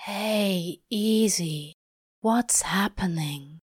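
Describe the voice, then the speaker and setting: A gruff but tender male demon from a storybook, acted by a woman narrator. He mutters slowly in a hushed, breathy murmur, with a cynical edge, close and dry. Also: medium pitch, no echo. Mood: soothing, baffled. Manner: slow, muttering.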